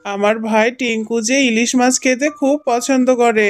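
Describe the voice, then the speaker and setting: A gentle cartoon fox husband and father, voiced by a woman, fairly high and even in pitch. He sounds earnest and trusting, with music behind. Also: moderate pace, close to an everyday voice. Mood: cheerful, generous. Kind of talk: dramatised Bangla cartoon story.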